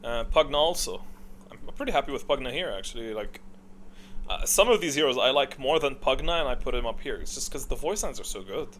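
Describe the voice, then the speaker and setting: A man's voice: medium pitch, expressive, a little fast, a bit bright, a little hiss or hum. A man giving casual, opinionated tier-list commentary, thinking aloud in a rambling way with gamer slang. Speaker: gaming video creator.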